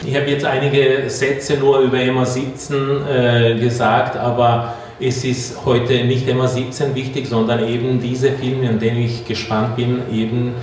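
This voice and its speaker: A man from a city community service giving slow, measured opening remarks to an audience. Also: medium pitch, level tone, darkish tone. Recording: distant, very echoey hall, faint noise.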